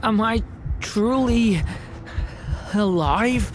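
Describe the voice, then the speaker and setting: A woman voicing a gentle, vulnerable but brave young boy in a video game, speaking in a soft, faltering, earnest manner. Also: fairly high pitch, extremely slow. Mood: weak, faltering. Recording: music clearly behind the voice.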